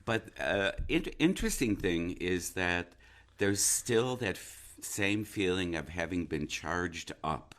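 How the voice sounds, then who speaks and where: Male actor in casual conversation, very low voice, slow and halting, with false starts and reflective delivery. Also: animated, a bit bright. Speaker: stage and radio actor.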